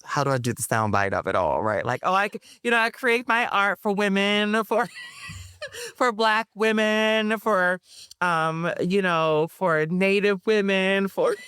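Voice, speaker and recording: A woman guest speaks in a smooth, clear voice that sits in the middle of her range, recorded dry and close with almost no room sound. She talks in an animated, chatty way, full of fillers and asides to listeners. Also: mid-range voice, dry recording. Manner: frequent fillers and laughter. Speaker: interview guest, playwright. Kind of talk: casual interview conversation.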